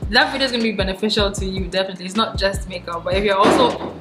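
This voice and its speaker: A woman's voice: medium pitch, rapid-fire. A woman from Ghana hosts her vlog in a loose, chatty way, laughing easily between questions. The room is echoey and music plays behind her. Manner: chatty and giggly. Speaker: vlogger host. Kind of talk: casual chat with a guest.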